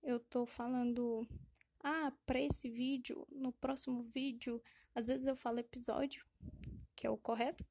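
A woman's voice: a little high, little pitch movement, measured pace, darkish tone, a little hiss or hum. A woman hosting a casual solo podcast, rambling and correcting herself with lots of fillers, often laughing at herself. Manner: self-deprecating and laughing at herself. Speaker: podcast host.

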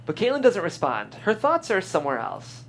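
A man's voice: high voice, huge pitch swings, moderate pace, a bit bright. A man reading screenplay stage directions aloud as the narrator of a live table read. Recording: faint background noise.

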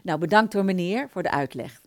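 An actress plays a puzzled older lady in a radio comedy sketch. Her pitch swings in a lively way as she stammers and repeats herself, apologetic yet stubbornly persistent. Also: a little fast, natural voice. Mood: resigned. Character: fussy, hesitant older woman pensioner, puzzled and thrifty. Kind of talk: radio play dialogue.

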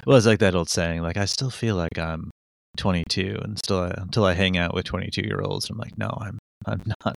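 A man's voice: sits low, fairly even intonation, normal speed, creaky, dry recording, clean studio sound. A man in his forties chatting casually as a podcast co-host, rambling and self-mocking with many fillers and restarts. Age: 40s. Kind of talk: casual chat. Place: Connecticut. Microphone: studio microphone.

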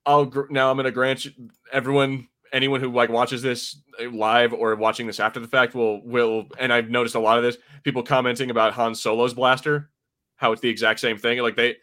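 Bright-voiced American man, casual podcast co-host. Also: mid-range voice. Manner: rambling, informal. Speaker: podcast co-host reviewing a TV episode. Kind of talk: casual chat, fan commentary.

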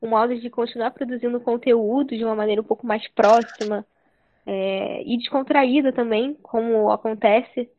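A nineteen-year-old woman chatting casually on a podcast in a relaxed way, with many filler tags. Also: fairly high pitch. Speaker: youth group member, co-host. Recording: phone-like dry line.

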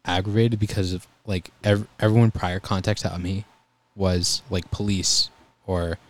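A young man telling EMT stories in a relaxed, calm voice during a casual chat. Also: low voice, unhurried. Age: young adult. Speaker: guest, EMT volunteer.

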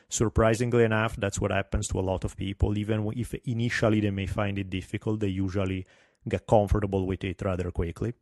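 Podcast host, middle-aged man, thick Italian accent, fairly low voice, wry and self-deprecating. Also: a little mellow, no room sound, studio quality. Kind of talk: solo podcast monologue.

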